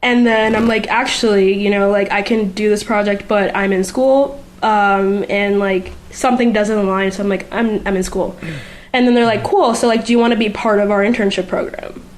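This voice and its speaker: A woman's voice: mid-range voice, steady tone, moderate pace. Chatty young Puerto Rican woman. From Puerto Rico. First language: Spanish. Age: young adult. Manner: chatty, lots of "like" fillers. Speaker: guest, art student. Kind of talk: casual chat.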